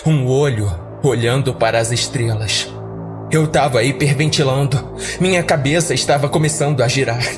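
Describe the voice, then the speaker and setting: A man's voice: medium pitch, moderate pace, over music. A man narrating a scripted horror story in a dramatic audiodrama style, as a podcast storyteller.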